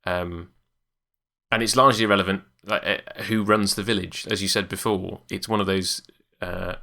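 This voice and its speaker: Very deep, bright-voiced male podcaster. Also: fairly even intonation, normal speed, vocal fry. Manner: hesitant, lots of ums and uhs. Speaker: podcast co-host. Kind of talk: casual chat.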